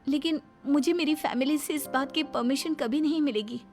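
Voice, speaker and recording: Woman as a meek, high-voiced young widow. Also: moderate pace, soft background music. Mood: hesitant, sad. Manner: soft, deferential, pleading. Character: meek, anxious young widowed daughter-in-law.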